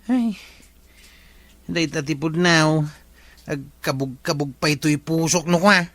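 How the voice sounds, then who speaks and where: A man's voice: fairly high pitch, measured pace, a bit bright, no echo. An actor with an Ilocano accent playing a lovesick, bashful man in a comedy drama, talking in a plaintive, conversational way.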